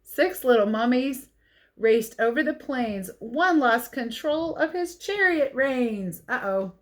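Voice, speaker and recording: A woman reading a picture book aloud to children like a teacher, expressive and chatty, with casual explanatory asides. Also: sits high, slow pace.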